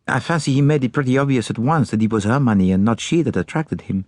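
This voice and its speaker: A man's voice: mid-range voice, quick pace, a little mellow, no room sound, pitched above the performer's own voice, close to an everyday voice. A man voicing a dapper, shrewd Belgian detective with a French-speaking Belgian accent and precise, formal manner in an audiobook. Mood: sympathetic. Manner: precise, formal, courteous questioning. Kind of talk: audiobook character acting.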